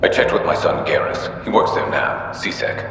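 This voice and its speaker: An actor playing an older alien veteran in a video game, a gruff, wary father speaking in a measured, confiding way. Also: a bit bright, lightly acted. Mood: grave, confiding. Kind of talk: video game dramatic acting. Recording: very echoey hall, faint hum.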